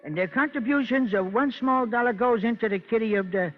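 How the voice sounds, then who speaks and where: High-voiced stammering hustler. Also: male voice, brisk. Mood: evasive, pleading. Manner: stammering, hesitant, mock-genteel. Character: nervous, wheedling small-time raffle hustler, male. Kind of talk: old-time radio crime drama acting. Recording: muffled old radio recording, music behind.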